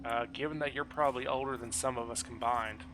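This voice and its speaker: A man's voice: mid-range voice, fairly even intonation, quick pace, noisy background. A man chatting casually with friends while gaming online, joking and swearing freely in a salty way. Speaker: gamer playing online with guildmates.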